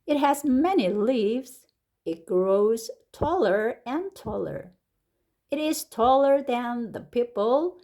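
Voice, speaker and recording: A woman with a Korean accent, reading an English picture book aloud slowly and expressively, like a teacher, with lively rises on the exciting words. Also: on the high side. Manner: slow and deliberate, stretching words, with lively rises on exclamations. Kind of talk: slow, expressive storybook read-aloud.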